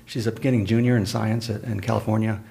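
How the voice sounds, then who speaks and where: This is a middle-aged man whose first language is Spanish, speaking on a podcast. His voice sits a little low, and he talks in a measured, matter-of-fact way during casual conversation. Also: fairly even intonation, gravelly, dark tone.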